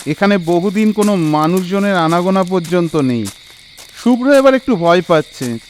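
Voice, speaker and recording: A man narrating a scripted horror story in an expressive, suspenseful, dramatic way. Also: high-pitched, moderate pace, slight background noise.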